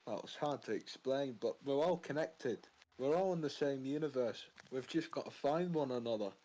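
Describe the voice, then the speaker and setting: A man's voice: medium pitch, moderate pace, mellow, low-quality recording. A young man from Fife with a Scottish accent touched by Mancunian, talking casually as a rock frontman, boastful and swearing freely. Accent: Fife Scottish with some Mancunian. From Scotland. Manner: laid-back, swearing, boastful. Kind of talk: casual interview.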